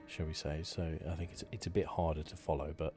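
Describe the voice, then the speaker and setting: Very deep-voiced man, relaxed ASMR game commentary. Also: fairly quick, over music. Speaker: gaming video host.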